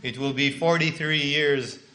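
Man in his sixties, from Canada, bright voice, plain unhurried storytelling. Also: on the high side, fairly even intonation, low-quality recording. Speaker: guest speaker giving testimony. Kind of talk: testimony sermon with line-by-line interpreting.

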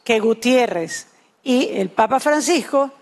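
A woman interprets a sermon phrase by phrase in a slightly high voice. Her delivery is brisk and even, with small self-corrections now and then.

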